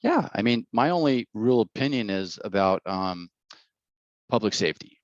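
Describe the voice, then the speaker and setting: A man's voice: fairly low pitch, big pitch swings, moderate pace, dry recording, muffled recording. A middle-aged man, a mayoral candidate answering interview questions in a blunt, rambling way and repeating his words.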